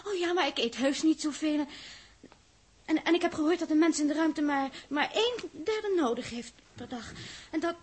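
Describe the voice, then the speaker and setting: Very high, girlish, naive young stowaway, played by a woman. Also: normal speed, lightly acted. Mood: sheepish, protesting. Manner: breathless, girlish, stammering, coquettish. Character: naive, chattering teenage schoolgirl stowaway aboard a spaceship. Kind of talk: 1960s Dutch radio drama acting.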